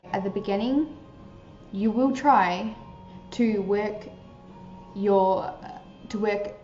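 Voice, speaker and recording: A young woman speaks in a casual, earnest advice talk, her speech dotted with fillers. Her voice is soft and mellow. The recording sounds roomy and a little dull. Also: medium pitch, slow pace, soft background music. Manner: earnest, chatty, many filler likes. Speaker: vlogger giving advice to new converts.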